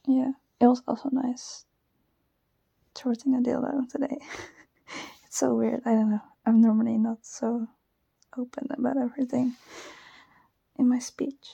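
A young woman with a Dutch accent whispering softly and shyly into a close microphone, giggling often and hesitating with many fillers. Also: on the high side, level tone, unhurried, gravelly, long pauses, breathy edge, no echo, dull audio. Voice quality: soft whisper. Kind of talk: whispered personal ramble, ASMR-like.

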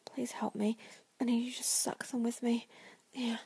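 A woman in an intimate erotic audio roleplay, speaking to the listener in a breathy, often whispered voice with a sultry, coaxing manner. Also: fairly high pitch, flat delivery, normal speed, darkish tone, no echo.

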